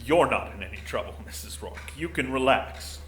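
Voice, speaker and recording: A man playing a gruff, middle-aged, desk-bound security commander in an audio drama, blunt and dryly bureaucratic. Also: medium pitch, lively intonation, normal speed, fairly bright tone, close to an everyday voice. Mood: stiffly polite. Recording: roomy echo, faint noise.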